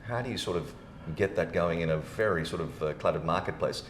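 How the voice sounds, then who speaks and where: A man hosting a casual, matey interview, recorded in an echoey room with some noise behind him. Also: brisk. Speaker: podcast host, interviewer. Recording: roomy echo, background rumble noise.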